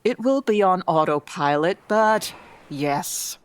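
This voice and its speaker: A woman's voice: on the low side, expressive, takes it slowly, clear, resonant tone. A woman playing a dignified, exasperated Amazon queen with a formal, stern manner in a comedy audio drama. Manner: formal, stern, long-suffering.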